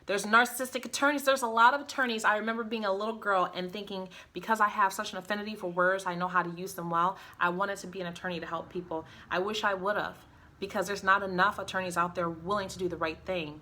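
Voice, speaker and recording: Bright-voiced woman ranting, blunt and impassioned. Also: mid-range voice, steady tone, fast talker, a little hiss or hum. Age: adult mother. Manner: blunt, impassioned, swears freely. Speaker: vlogger. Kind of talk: personal vlog monologue.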